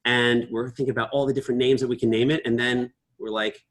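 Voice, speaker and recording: A man co-hosting a podcast in casual chat, joking and teasing at a slow, relaxed pace. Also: mid-range voice. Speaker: podcast co-host.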